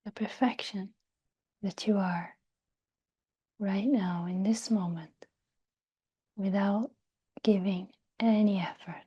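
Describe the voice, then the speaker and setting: A woman guiding a meditation in a soft, breathy, near-whispered voice, gentle and soothing, with long pauses. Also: medium pitch, steady tone, muffled recording. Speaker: meditation guide. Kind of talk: guided meditation.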